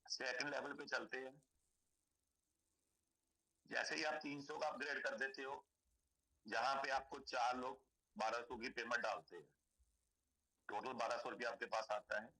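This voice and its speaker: A man with a hoarse throat giving a persuasive sales pitch for a charity donation scheme, explaining it step by step and repeating key points. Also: steady tone, unhurried, pauses often, pushed and bright, rough recording.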